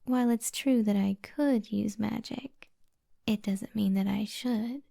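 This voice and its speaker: A woman playing a kind, flirty witch healer in a soft-spoken, half-whispered ASMR roleplay, slow, soothing and gently teasing. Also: medium pitch, vocal fry. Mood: gentle, wise.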